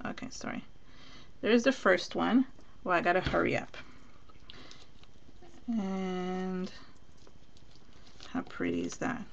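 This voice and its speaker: A woman from Venezuela whose English carries a Spanish accent, talking her way through a live craft demonstration. Her voice has a noticeable gravelly fry, and she chats easily, thinking aloud and replying to viewers as she works. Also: fairly low pitch, very animated, takes it slowly, pauses often, slight background noise. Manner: chatty, thinks aloud while working, answers viewer comments.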